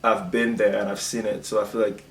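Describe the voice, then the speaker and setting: A man's voice: fairly low pitch, flat delivery, brisk. Young man in an echoey room. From Cameroon. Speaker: host of a video channel. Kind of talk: casual conversational discussion. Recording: echoey room with some background noise.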